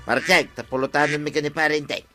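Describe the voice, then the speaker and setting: An actor playing a scheming, wheedling village husband in an Ilocano radio comedy, argumentative and animated. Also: male voice, fairly high pitch, fast talker, faint music behind. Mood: argumentative, playful.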